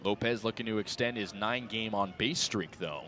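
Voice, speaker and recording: A man's voice: on the low side, moderate pace. A young man doing live radio baseball play-by-play in short, clipped, descriptive phrases, his energy following the action on the field. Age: young adult. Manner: clipped descriptive phrasing, rising excitement on plays. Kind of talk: live radio sports commentary.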